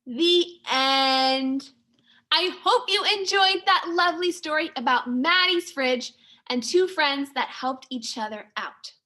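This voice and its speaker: A woman teacher reading a children's storybook aloud to young pupils in an expressive manner. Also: sits high, slow. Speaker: second-grade teacher.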